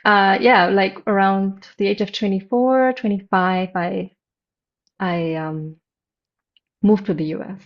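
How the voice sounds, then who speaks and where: A woman's voice: medium pitch, fairly even intonation, slow. German-born woman guest, light German and deaf accent, reflective, slightly dull audio. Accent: light German accent blended with a deaf accent. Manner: reflective, gentle, with soft laughs. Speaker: interview guest, meditation teacher. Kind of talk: casual interview.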